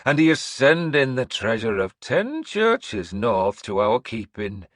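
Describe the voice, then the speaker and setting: A man's voice: mid-range voice, very animated, no room sound, studio quality, voice pushed up. A man playing a menacing old throne queen. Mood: gloating. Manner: slow, hissing, imperious pronouncements. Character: sinister old woman ruling from a throne.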